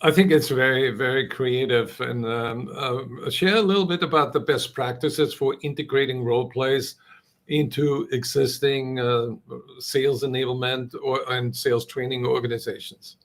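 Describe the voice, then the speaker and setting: A male interview host with a voice of middling pitch. He asks his questions with pauses and reworks them as he goes.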